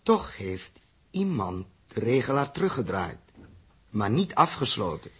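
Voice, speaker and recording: An actor playing a firm, reasoning spaceship crew leader in a 1960s Dutch radio drama, speaking with deliberate authority. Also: male voice, on the low side, very animated, fairly bright tone, husky, heavily acted.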